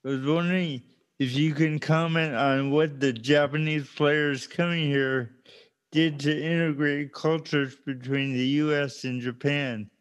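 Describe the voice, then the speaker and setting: A man's voice: fairly high pitch, steady tone, unhurried, clear, resonant tone. A man co-hosting a podcast, asking a guest a question in a friendly way. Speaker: podcast co-host. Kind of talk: casual interview.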